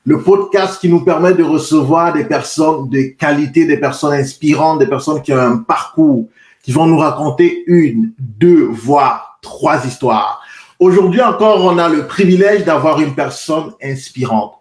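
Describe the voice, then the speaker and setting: A man hosting a podcast interview, speaking with earnest, formal warmth and many repetitions as he introduces and questions his guest. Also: on the high side, measured pace. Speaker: podcast host. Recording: echoey room.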